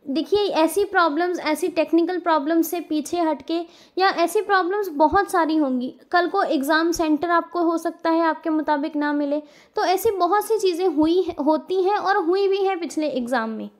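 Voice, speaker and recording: A woman with an Indian accent and a very high voice speaks directly to students like a coaching educator. She explains things informally but earnestly, stressing her points and going back over them so they are clear. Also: normal speed. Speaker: exam coaching educator giving an update to students. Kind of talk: informal explanatory update addressed to viewers.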